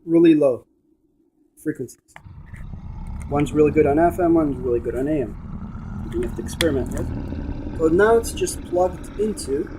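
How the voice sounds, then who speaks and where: A man's voice: fairly high pitch, unhurried, long pauses, dark tone. A man giving a casual, emphatic and repetitive hands-on gadget demonstration. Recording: noisy room with device static. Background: radio scanning static.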